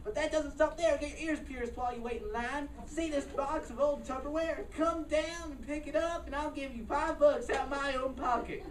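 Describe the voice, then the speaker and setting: A crazed discount-store salesman played by a woman delivers a pushy commercial parody in a high voice. The recording is distant and roomy, with some noise. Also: moderate pace, few pauses, lightly acted. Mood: manic, pushy. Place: staged reading in a room. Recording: echoey, noisy room, distant mic.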